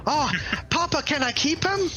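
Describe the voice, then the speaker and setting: Man as a little boy, high voice, awestruck, innocent, eager, tabletop game character, background music. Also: animated, measured pace, sharp tone, exaggerated voice. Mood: awed, eager. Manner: eager childlike wonder. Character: tiny wide-eyed little boy, curious, adoring, sheepish.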